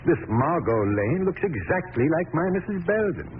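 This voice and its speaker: An actor playing a sly, falsely courteous doctor villain in a vintage radio drama, suave and theatrical. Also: male voice, a little high, very animated, moderate pace, phone-quality sound. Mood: sly, mock-polite. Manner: suave, mock-polite, theatrical. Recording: noisy, band-limited vintage radio recording.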